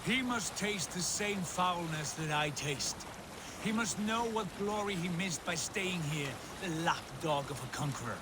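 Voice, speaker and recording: An actor playing a proud, embittered Viking lord in a video game, speaking in a solemn, declamatory way. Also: male voice, sits high, animated, unhurried, background noise. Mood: bitter. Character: embittered, proud Viking lord, grown man, fervent and brooding. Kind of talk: dramatic video game acting.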